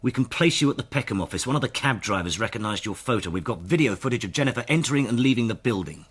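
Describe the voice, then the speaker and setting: An actor playing a hard, sardonic detective inspector in a radio drama, needling and sarcastic, with a husky voice. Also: male voice, medium pitch, animated, fast talker, a bit bright. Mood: pressing, accusing.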